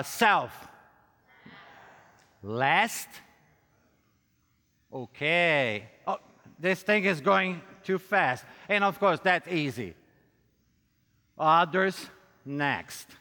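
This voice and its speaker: A man's voice: high voice, bright, forceful tone. A middle-aged man from Brazil with a Brazilian accent, a native Portuguese speaker, preaching to a conference as a pastor and addressing listeners directly in a joking, self-deprecating way. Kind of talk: conference sermon with audience interaction. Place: large hall, speaking to a conference audience. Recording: reverberant hall sound.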